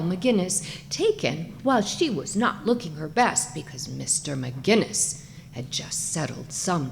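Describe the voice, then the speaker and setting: A woman's voice: lowish voice, vocal fry, darkish tone, slight background noise. A woman reading a comic short story aloud as its narrator, in a scripted storytelling style.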